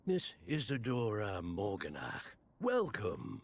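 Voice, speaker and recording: An actor playing a grave, kindly mentor professor in a game, speaking formally, with measured authority. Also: male voice, on the low side, wildly swooping pitch, a little hiss or hum, full character voice. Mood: welcoming. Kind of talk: formal game cutscene acting.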